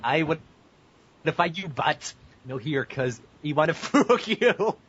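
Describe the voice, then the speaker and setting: A man's voice: a little high, expressive, takes it slowly, a bit bright, breathy edge. A man co-hosting a casual podcast, jokingly reading aloud with mock drama and prone to breaking into laughter. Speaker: podcast co-host. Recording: muffled lo-fi podcast audio.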